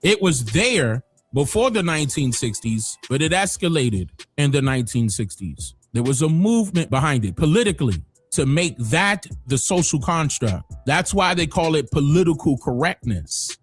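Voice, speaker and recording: A man's voice: very animated, moderate pace, hardly any pauses, husky, no room sound, soft background music. Emphatic man hosting a livestream. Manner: emphatic, swings from loud exclaims to low muttering. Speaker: livestream host. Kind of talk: opinionated livestream commentary.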